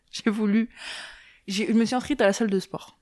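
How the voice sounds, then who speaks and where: A woman's voice: mid-range voice, steady tone, normal speed, a little mellow, no echo, clean studio sound. Chatty French woman podcast host. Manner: rambling, chatty, laughs often. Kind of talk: casual chat.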